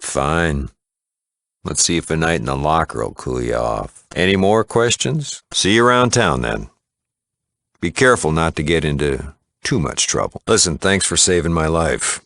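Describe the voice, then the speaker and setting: An actor playing a gruff, plain-spoken small-town mayor and shopkeeper in a video game, a tough but fair lawman with a gravelly voice and folksy, firm manner. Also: rumbling low, fairly bright tone, no echo, full character voice. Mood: firm, dry, then earnest, businesslike. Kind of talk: video game character dialogue.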